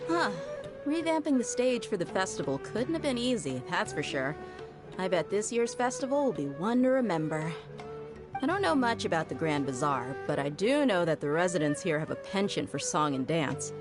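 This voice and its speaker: A reserved female guard in a fantasy game, on the low side in pitch. Her delivery is composed and matter-of-fact, with music clearly behind her. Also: very animated, moderate pace. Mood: composed interest. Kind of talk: video game voice acting.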